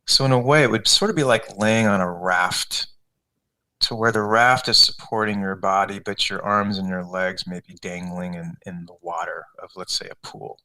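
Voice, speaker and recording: A man leading a guided meditation as a coach, giving unscripted, conversational instructions step by step with gentle repetition. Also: low-pitched, fairly even intonation, moderate pace, rough recording.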